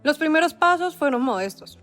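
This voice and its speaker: A woman narrating a documentary script in a measured, dramatic storytelling cadence. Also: high voice, expressive, fairly bright tone, faint music behind.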